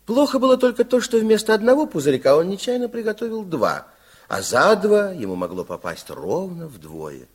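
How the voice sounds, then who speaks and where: A man narrating a radio fairy tale in an expressive, wry storytelling manner. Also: high voice, normal speed, husky.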